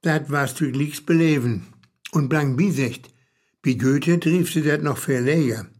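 A man narrating and commenting in a radio play with a Low German accent, dry and explanatory. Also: a little slow, a little mellow.

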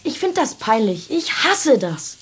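A woman's voice: high-pitched, big pitch swings, unhurried, breathy edge, exaggerated voice. A performer playing a stubborn, homesick eight-year-old boy in a radio play, sulky and full of insistent questions. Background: music.

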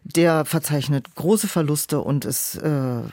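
A woman's voice: low-pitched, slow, darkish tone, no echo, clean studio sound. A woman speaking as an interview guest, explaining things in a considered way about her work as a producer. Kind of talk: interview conversation.

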